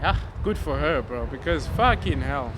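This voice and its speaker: A man's voice: medium pitch, expressive, normal speed, noisy background. A young man from Africa co-hosting a podcast, joking crudely and laughing often in a casual chat. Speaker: podcast co-host.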